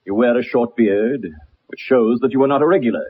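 An actor playing a haughty, precise gentleman detective with a British accent in a radio drama, speaking in formal, pompous phrases. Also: medium pitch, a little fast, husky, natural voice. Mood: brisk, assured. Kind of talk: radio drama acting with first-person narration. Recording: dull lo-fi old radio recording.

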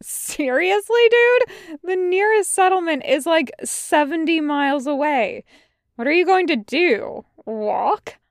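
Woman doing a brash, high-pitched, sarcastic guy soldier. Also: slow pace, clean tone, no echo, studio quality. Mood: incredulous. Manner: prodding, slangy, swearing. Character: brash, sarcastic, exasperated young male soldier. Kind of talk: dramatic fan fiction reading.

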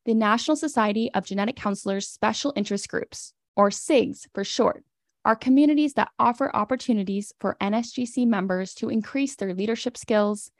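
A woman hosts a professional podcast and reads guest introductions in a steady, scripted delivery. Her voice sits at a middle pitch with a gravelly edge of fry. The recording is dry and close. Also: no room sound. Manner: reads introductions clearly, spelling out web addresses. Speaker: podcast host. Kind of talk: scripted podcast narration and interview.

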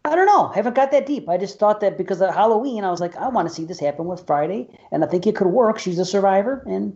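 A man's voice: high-pitched, expressive, fast talker, a little mellow, dull audio. A man co-hosting a casual podcast, rambling loosely and enthusiastically with plenty of swearing. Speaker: podcast co-host. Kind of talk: casual chat.

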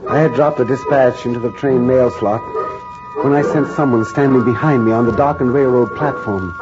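An actor playing a reporter who narrates his own ordeal in measured, grave first person, in a 1940s radio drama. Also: mid-range voice, close to an everyday voice. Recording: dull 1940s radio broadcast recording with music.

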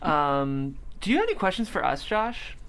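A man's voice: on the high side, wildly swooping pitch, moderate pace, fairly bright tone, clean tone. A man hosting a casual panel interview, asking questions in a conversational style. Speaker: interview host.

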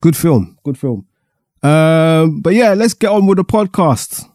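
A man hosting a podcast in a chatty, rambling way, his voice husky and stuffy-nosed from a cold. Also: on the high side, animated, a little slow, warm tone, dry recording, studio quality. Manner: chatty and rambling, with many fillers. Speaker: podcast host. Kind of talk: casual solo podcast monologue.

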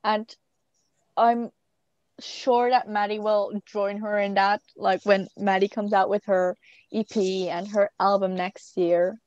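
A woman's voice: medium pitch, little pitch movement, a little mellow, no echo, muffled recording. A teenage girl in casual, teasing chat with a friend, giggling often. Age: teens. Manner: giggly, teasing, quick banter. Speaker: co-host.

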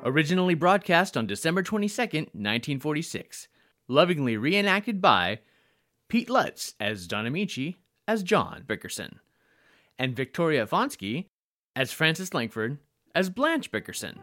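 A man's voice: on the high side, big pitch swings, moderate pace, bright, forceful tone. A man reading scripted credits as an old-time radio announcer. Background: guitar music.

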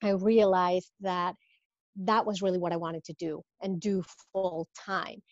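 A woman's voice: on the low side, flat delivery, measured pace, darkish tone, no room sound, highs cut off. A woman in her fifties from Mexico, a business coach, talking through her career in a casual interview with many fillers and restarts.

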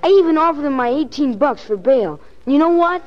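A woman's voice: sits high, expressive, a little fast. A woman playing a small, plucky, stubborn boy with earnest, slangy talk in a 1940s radio drama. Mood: hopeful, pleading. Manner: slangy, insistent kid talk. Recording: muffled 1940s radio recording.

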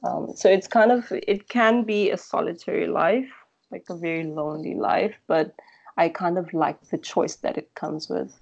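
South african lady chatting in an interview, soft-edged dark tone, kinda hesitant and reflective, bit dull sound. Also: fairly low pitch, fairly even intonation, normal speed, creaky. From Durban, South Africa. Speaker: interview guest.

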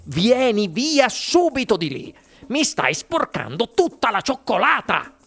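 A woman voicing an excitable, fussy, eccentric male chocolate maker in a storybook, pleading and agitated. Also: on the high side, measured pace, vocal fry, soft background music, pitched above the performer's own voice, exaggerated voice.

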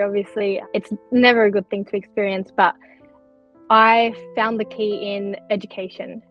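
A young woman who grew up partly in Turkey, talking earnestly and chattily as a podcast guest, with lots of likes and you-knows. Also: mid-range voice. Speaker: scholarship recipient guest. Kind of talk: candid podcast interview. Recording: slightly dull lo-fi audio.

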